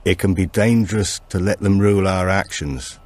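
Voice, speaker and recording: A man voicing a grave old storyteller who gives warnings slowly and deliberately in an audiobook. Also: sits low, fairly even intonation, a little mellow. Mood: grave, cautioning.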